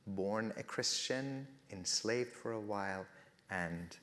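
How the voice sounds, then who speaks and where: Low-voiced man giving an earnest talk. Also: slow pace, big-room sound. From Iran. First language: Persian. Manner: earnest, storytelling, soft to rising emphasis. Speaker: speaker at a faith festival. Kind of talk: reflective spoken talk.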